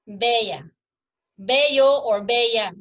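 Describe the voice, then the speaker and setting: A bilingual woman from Texas with a Texan accent, reading aloud in Spanish and answering briefly and gently. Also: a little high, slow, dull audio. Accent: Texan Spanish-English bilingual. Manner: bright, gentle, brief replies. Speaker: guest reader, deputy. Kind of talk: bilingual read-aloud and chat.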